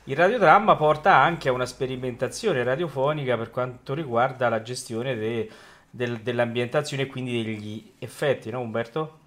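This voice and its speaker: Guy hosting a casual radio chat, average pitch, feels around for his words. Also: normal speed, fairly bright tone. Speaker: radio host. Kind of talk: informal radio discussion.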